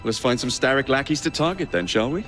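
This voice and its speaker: An actor playing a brash, roguish young gang leader in a game cutscene, with a wry, swaggering, teasing manner. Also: male voice, medium pitch, expressive, fast talker, fairly bright tone, close to an everyday voice. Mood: wry, cocksure. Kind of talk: video game cutscene acting. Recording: music behind the voice.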